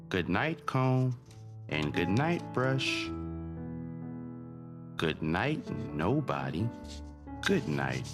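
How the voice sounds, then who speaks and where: Male bedtime-story reader, lower-pitched and very unhurried, with swooping melody over clearly audible music. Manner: sing-song, deliberate pauses between short phrases. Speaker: children's read-aloud host. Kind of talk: bedtime picture-book reading.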